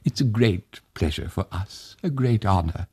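A man voicing a shy young woman who pleads softly and politely. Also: lowish voice, lively intonation, measured pace, breathy edge, no room sound, clean studio sound, pitched above the performer's own voice, exaggerated voice. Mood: pleading.

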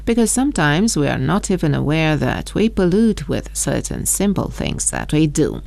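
A woman's voice: deep voice, gravelly, darkish tone. A woman reading a scripted radio news report as a reporter.

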